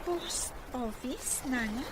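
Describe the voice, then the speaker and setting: High-voiced young Ilocana daughter. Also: female voice, lively intonation, takes it slowly. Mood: respectful. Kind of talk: Filipino radio drama acting. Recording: clearly noisy ambience behind.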